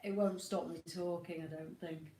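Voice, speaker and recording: A woman's voice: fairly low pitch, steady tone, normal speed, a little hiss or hum. A woman with a cold and a slightly snotty voice, chatting casually to viewers at home on a livestream. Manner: chatty, rambling, warm greetings to viewers. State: has a cold, a bit snotty. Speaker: co-host of a motorhome live chat. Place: at home, indoors. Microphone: iPad. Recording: roomy echo.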